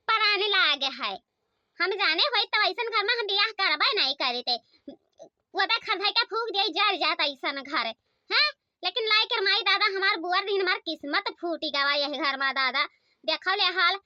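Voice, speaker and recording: A woman's voice: very high voice, bright, forceful tone, no echo, muffled recording, exaggerated voice. A woman voicing an aggrieved young village mother and daughter-in-law in a comic cartoon, complaining and exclaiming in an Awadhi accent.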